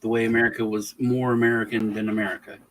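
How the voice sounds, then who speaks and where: A man from Texas who was raised in Germany, hosting a casual political livestream chat and rambling with halting restarts. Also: on the low side, flat delivery, slight background noise, rough recording. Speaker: livestream host.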